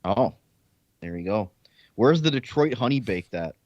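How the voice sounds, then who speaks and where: A man's voice: on the low side, expressive, a little slow, darkish tone, no room sound. A man hosting casual live sports draft coverage over slightly lo-fi audio. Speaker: sports podcast host.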